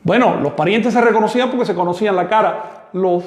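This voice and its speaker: A man's voice: high-pitched, brisk. A man teaching a live online class, answering students' questions in a firm, emphatic lecturing manner. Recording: echoey room with long reverb.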